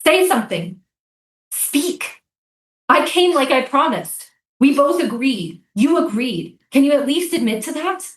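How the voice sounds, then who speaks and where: A woman's voice: high-pitched, sing-song, a little slow. A woman playing an earnest, insistent American soldier and interrogator in a staged reading of a drama. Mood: desperate, demanding. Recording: roomy, echoey live stage reading.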